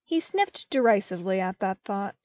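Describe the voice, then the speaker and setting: A woman reading scripted story narration as an audiobook narrator, with careful, expressive delivery. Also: medium pitch, normal speed, no room sound. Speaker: podfic narrator.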